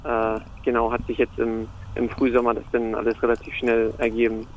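A man's voice: mid-range voice, monotone, fairly quick, poor audio. A young man from Hamburg, a football player answering interview questions casually and hesitantly, with many fillers. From Germany (Hamburg).

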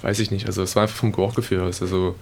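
A young man speaking as a guest footballer in a casual interview, halting often with fillers. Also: low-pitched, level tone, creaky, a little mellow, slight background noise.

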